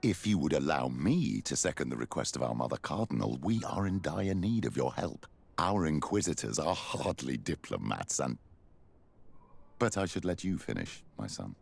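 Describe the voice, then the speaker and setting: A grave, courteous churchman from a fantasy game, with a gravelly bottom-range voice. He speaks formally and deferentially, yet his pitch swings expressively. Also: sing-song, normal speed, heavily acted. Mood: solemn, urging. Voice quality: very deep, gravelly. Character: grave, courteous old clergyman of an inquisitorial church. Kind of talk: video game cutscene acting.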